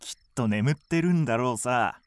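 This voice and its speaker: A man playing a hot-headed, vengeful village hunter in a radio drama, rough and blustering. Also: mid-range voice, lively intonation, moderate pace, no room sound, close to an everyday voice. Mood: cocky, determined.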